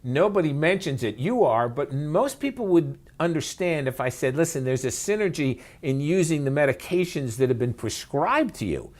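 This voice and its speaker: A man's voice: fairly high pitch, big pitch swings, moderate pace, few pauses, a little mellow. A man speaking as an expert interview guest, emphatic and conversational, with rhetorical questions and folksy asides. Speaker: physician and author, interview guest.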